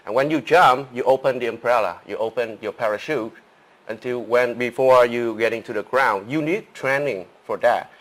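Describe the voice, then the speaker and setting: A man's voice: mid-range voice, normal speed, fairly bright tone, rough recording. A Vietnamese man with a Vietnamese accent, co-hosting a live English lesson and explaining things in simple words as he rambles. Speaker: co-host.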